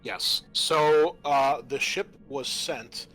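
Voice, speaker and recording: A man with a bright, clear-edged voice runs a tabletop roleplaying game. He narrates off the cuff at medium pitch, halting in the middle of sentences. Music plays faintly behind him. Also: poor audio. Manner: halting, pausing mid-sentence. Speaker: game master.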